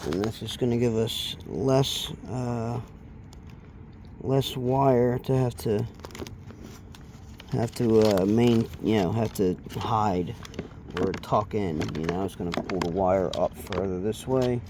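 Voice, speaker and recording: A man giving a casual, unscripted hands-on tutorial, speaking haltingly and trailing off as he works with his hands. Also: fairly low pitch, a little mellow, slight background noise. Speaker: DIY installation demonstrator.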